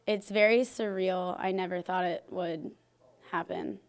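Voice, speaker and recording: A college softball player in her twenties, talking earnestly in an interview with a handheld mic in a locker room. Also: lowish voice, unhurried. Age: 20s. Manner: earnest, excited. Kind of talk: interview soundbite. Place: team locker room, indoors.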